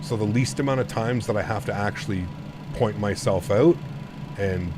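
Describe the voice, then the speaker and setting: Deep-voiced man chatting on a live stream. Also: normal speed, noisy background. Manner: talks straight to chat, explains himself at length with repeated restarts. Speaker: flight sim streamer. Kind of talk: casual live-stream chat.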